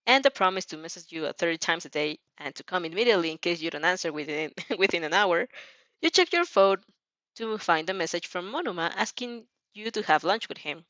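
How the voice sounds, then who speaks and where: A woman's voice: on the low side, no echo, lo-fi sound. Giggly woman reading fan fiction. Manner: giggly, expressive, breaks off to comment. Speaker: fan fiction narrator and reactor. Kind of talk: dramatic reading with casual reactions.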